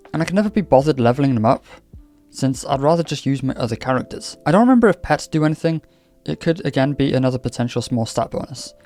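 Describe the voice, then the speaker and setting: Man from wales, gaming vlog host, warm mellow tone, chatty candid delivery, soft music underneath. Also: mid-range voice. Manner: chatty, candid, lightly scripted. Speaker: gaming YouTuber. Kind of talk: casual game commentary vlog. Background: faint background music.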